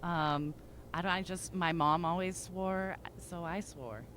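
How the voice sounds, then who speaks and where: A woman's voice: lowish voice, steady tone, measured pace, fairly bright tone, a little hiss or hum. A woman comedian guesting on a casual panel chat, laid-back and blunt, laughing easily.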